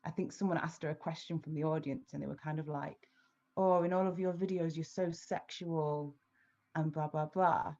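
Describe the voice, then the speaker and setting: A woman's voice: sits low, steady tone, dark tone. A woman reading her poem and then answering thoughtfully, with some hesitation, over a video call. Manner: thoughtful, halting, with fillers. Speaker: poet and guest. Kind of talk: spoken-word poetry and reflective conversation. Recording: dull lo-fi audio.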